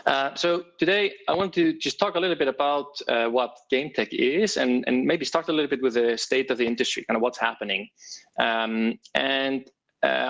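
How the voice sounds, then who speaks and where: A man's voice: medium pitch, gravelly, a bit bright, lo-fi sound. A man from Iceland with an Icelandic accent, giving a casual tech talk at an online meetup, with many fillers and restarts. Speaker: developer advocate.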